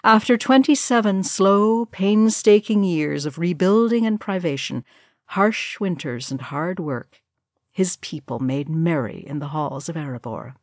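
A woman's voice: on the low side, animated, unhurried, dry recording, studio quality. A woman reading scripted fiction aloud as an audiobook narrator. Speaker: audiobook reader.

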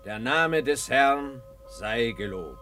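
Slow, solemn preacher. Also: male voice, mid-range voice, expressive, pushed and bright, faint music behind. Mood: solemn, admonishing. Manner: declamatory, severe. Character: stern, solemn preacher reciting scripture. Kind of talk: sermon-like radio play recitation.

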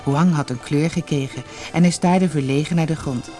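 A storyteller reading a scripted fairy tale in an expressive, dramatic manner. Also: medium pitch, normal speed, soft background music.

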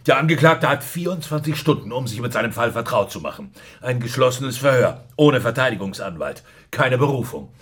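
An actor playing an alarmed, grim secret police colleague in a radio crime drama, speaking in a clipped, urgent way. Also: male voice, mid-range voice, lively intonation, a little fast, few pauses, a bit bright, natural voice. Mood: grim, subdued.

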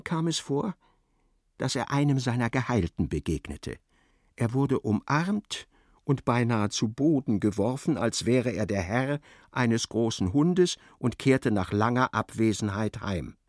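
A man narrating a literary radio play in a measured, dryly ironic way. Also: mid-range voice, no room sound, studio quality.

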